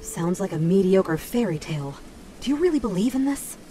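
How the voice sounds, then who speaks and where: A woman's voice: medium pitch, sing-song, a little fast, warm tone, faint music behind, lightly acted. An actress playing a serious, skeptical noblewoman and battle advisor in a medieval fantasy game, firm and matter-of-fact.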